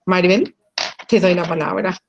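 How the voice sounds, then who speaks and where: Brief: Argentine woman as panel moderator, lower voice, halting delivery with frequent fillers, on a video call. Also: steady tone, vocal fry, lo-fi sound. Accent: Argentine Spanish. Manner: hesitant, full of fillers. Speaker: webinar moderator.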